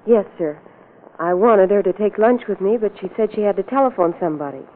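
Actress as polite witness on tinny radio. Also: female voice, mid-range voice, lively intonation, a little fast, natural voice. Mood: cooperative. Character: polite female witness under questioning. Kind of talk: 1940s-50s radio drama acting. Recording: tinny old radio recording.

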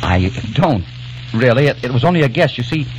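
A man's voice: mid-range voice, sing-song, brisk, a little hiss or hum, lightly acted. A man playing a flustered young New Yorker lost in the past, who also narrates the radio drama. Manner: flustered, stammering in dialogue. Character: young male time-traveling New Yorker, nervous. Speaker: narrator and lead. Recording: dull old radio broadcast with music.

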